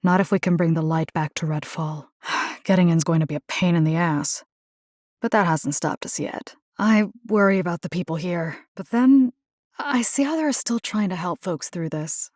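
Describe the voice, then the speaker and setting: A woman's voice: lowish voice, moderate pace, darkish tone, no room sound, studio quality, close to an everyday voice. An actress voicing a resolute, caring, plain-spoken young woman in a video game, earnest and conversational with a wry edge. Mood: wry, weary. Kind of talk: acted video game character dialogue.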